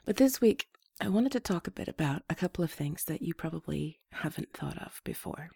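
A woman with a rough voice, hoarse from a flu, hosting a gentle and reassuring advice talk to her listeners. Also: low voice, animated, fairly quick, no echo, studio quality. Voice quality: rough, slightly congested voice. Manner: gentle, reassuring, restarts phrases. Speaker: advice show host.

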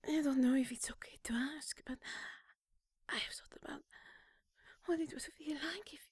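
Woman whispering slow and breathy, high voice, sighs a lot, drops french pet names, dry close sound. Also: dry recording. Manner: soft breathy whisper with frequent sighs and moans; sprinkles French endearments into English. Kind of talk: whispered intimate roleplay audio.

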